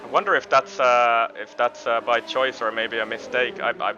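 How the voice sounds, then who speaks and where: Finnish man co-commentating a sim race. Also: medium pitch. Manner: relaxed, chatty, halting with fillers. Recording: slightly dull sound with clear background noise.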